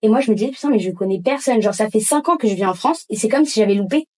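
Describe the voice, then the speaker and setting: A woman guest on a casual podcast, telling lively stories in heavy casual slang. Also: fairly high pitch, rapid-fire.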